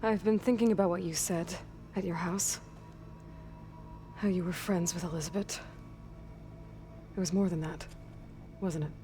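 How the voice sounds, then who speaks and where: A woman's voice: on the low side, steady tone, normal speed, long pauses, warm tone, soft background music, natural voice. A woman voicing a guarded, determined young female warrior in a video game, with dry, sardonic delivery. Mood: measured.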